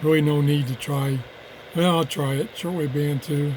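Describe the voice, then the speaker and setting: A man's voice: fairly high pitch, fairly even intonation, moderate pace. A man giving a casual, unscripted hands-on product demonstration as a reviewer, muttering to himself as he works in an office. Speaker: radio reviewer. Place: home office. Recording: clear background noise of radio static. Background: radio static and tuning noise.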